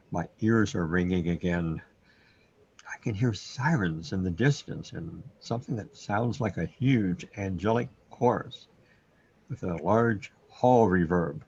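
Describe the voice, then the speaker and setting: Troubled, self-doubting man played by a male actor, brooding and hesitant, husky delivery, muffled recording, surreal radio drama. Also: low voice, a little slow, darkish tone, heavily acted. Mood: bewildered. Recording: dull, lo-fi audio.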